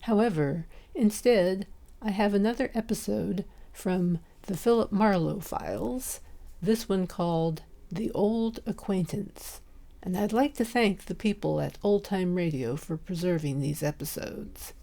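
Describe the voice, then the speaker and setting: A woman's voice: mid-range voice, a little slow, vocal fry, warm tone. A woman speaking as a podcast host, giving an introduction and announcements. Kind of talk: podcast introduction and sponsor read.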